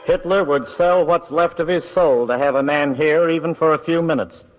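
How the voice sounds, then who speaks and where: A man's voice: fairly high pitch, moderate pace, soft background music, poor audio. A man with an American accent reading scripted 1940s wartime radio narration in a solemn, emphatic manner.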